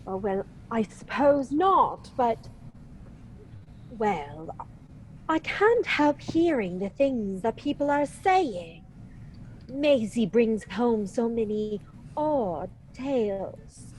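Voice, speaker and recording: A genteel, fragile widow acted by a woman in a radio mystery. Her high voice moves slowly and theatrically, coy and pleading, with noise behind it. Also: sing-song, a little mellow, heavily acted, poor audio. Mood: demure, polite. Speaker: actor in audio drama. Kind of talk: old-time radio mystery acting.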